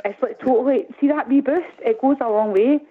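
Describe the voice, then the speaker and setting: A middle-aged woman with a Glaswegian accent calling in, rambling and animated, often asking "do you know what I mean". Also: high-pitched, brisk, breathy edge. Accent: Glaswegian Scottish. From Glasgow, Scotland. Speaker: caller. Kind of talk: casual phone-in chat. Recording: tinny phone line.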